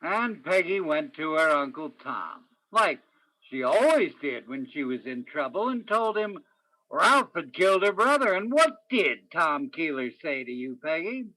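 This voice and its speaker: A man's voice: fairly high pitch, very animated, measured pace, bright, forceful tone, dry recording, exaggerated voice, poor audio. A man playing a persistent, world-weary hard-boiled detective in a radio crime drama. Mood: accusing, insistent. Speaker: stage actor in a radio play. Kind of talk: old-time radio crime drama acting.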